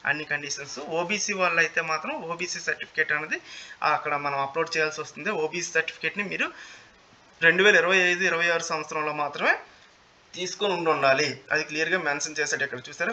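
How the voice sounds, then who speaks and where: A man's voice: on the high side, fast, bright, forceful tone. A man with a Telugu Indian accent giving an informal explainer, repeating key details and mixing in English terms. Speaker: presenter of job notification videos. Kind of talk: informal explainer of a job notice. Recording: muffled lo-fi audio with faint noise.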